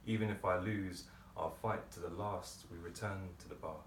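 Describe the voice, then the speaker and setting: Echoey, low-voiced man performing poetry. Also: fairly even intonation. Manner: theatrical, deliberate phrasing with pauses. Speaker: poet performer. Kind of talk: dramatic spoken-word poetry monologue performance. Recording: roomy, distant microphone, background noise.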